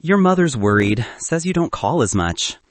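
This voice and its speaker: Man voicing a gentle middle-aged father. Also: mid-range voice, big pitch swings, moderate pace, no echo, lightly acted. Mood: concerned. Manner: gentle, plain-spoken.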